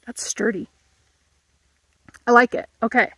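A woman's voice: on the high side, fairly even intonation, unhurried, vocal fry, bright, forceful tone, breathy edge, dry recording. A woman in her thirties reviewing camping gear in a casual vlog, musing aloud while she eats, recorded outdoors in a meadow.

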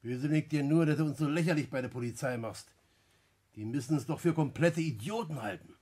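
An actor playing a wily, talkative small-time crook, chummy and evasive, in a 1960s German radio play. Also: male voice, a little high, dark tone, husky. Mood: mock reproach. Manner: chummy, evasive, halting repetitions. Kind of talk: German radio play acting around 1960.

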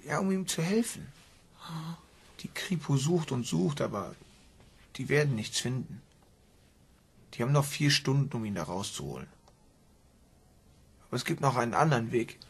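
Determined young son, very husky, creaky, lively intonation, radio crime drama. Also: male voice, medium pitch, moderate pace, pauses often. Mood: earnest, defensive. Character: young son, determined and secretive game player.

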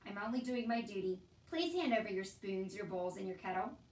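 A woman's voice: medium pitch, expressive, a little fast, a little mellow, natural voice. A dutiful, officious male soldier from a storybook, acted out by a woman reader. He proclaims formally and sternly. The sound is roomy and set back from the microphone. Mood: officious, firm. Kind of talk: storybook read-aloud character voice. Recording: roomy echo, distant from mic.

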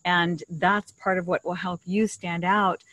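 A woman's voice: on the low side, flat delivery, measured pace, fairly bright tone, dry recording. A middle-aged woman co-hosting a podcast, explaining things in a casual, conversational style into a shared desktop microphone. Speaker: podcast co-host. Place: outdoors in a leafy garden. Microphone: desktop condenser mic shared between two.

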